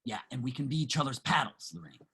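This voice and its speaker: A man playing a rough-spoken, yearning homeless man in a dramatic table reading, earnest, colloquial and pleading. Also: medium pitch, fast, fairly bright tone. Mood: hopeful, urgent.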